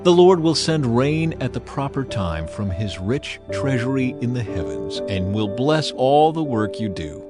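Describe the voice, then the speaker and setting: A solemn, commanding male prophet, voiced by a man, addresses his people in dramatized scripture. His mid-pitched voice is stern, declamatory and deliberate, with music clearly audible behind it. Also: sing-song. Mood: solemn, assuring.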